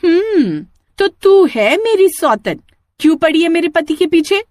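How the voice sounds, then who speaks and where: Woman voicing a high-pitched possessive young ghost bride. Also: sing-song, unhurried, a little mellow, full character voice. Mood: jealous, accusing. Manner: insistent, confrontational.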